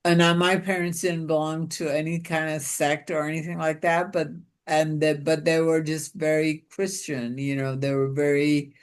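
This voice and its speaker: A woman's voice: low-pitched, flat delivery, clean tone. A woman from Pakistan hosting a casual interview over a video call, talking in a musing way with many restarts. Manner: slow and musing, with many restarts and "you know". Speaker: interviewer and show host.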